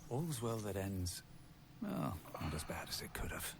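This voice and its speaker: An actor playing a wry, theatrical male adventurer companion in a fantasy video game, speaking in a measured way. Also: fairly low pitch, very animated, creaky, exaggerated voice.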